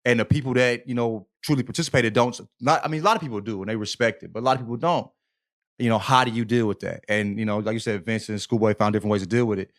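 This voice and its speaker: A man's voice: on the low side, steady tone, fast, a bit bright. A man co-hosting a podcast in a loose, slangy conversational style, swearing freely and often checking in with his listener. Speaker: podcast co-host. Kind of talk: casual conversational podcast discussion.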